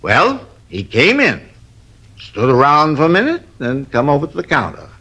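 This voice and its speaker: A man's voice: a little high, huge pitch swings, normal speed, pushed and bright, slightly rough, full character voice. An actor playing a fussy, long-winded male robbery witness who grumbles and wanders off topic, in 1950s radio drama style. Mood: recounting. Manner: rambling, grumbling, easily sidetracked.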